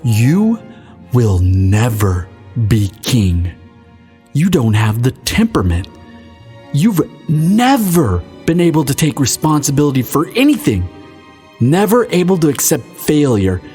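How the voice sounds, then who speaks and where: A man's voice: medium pitch, a little mellow. A man playing a proud, indignant elder crown prince in a fantasy audio drama, speaking formally and haughtily.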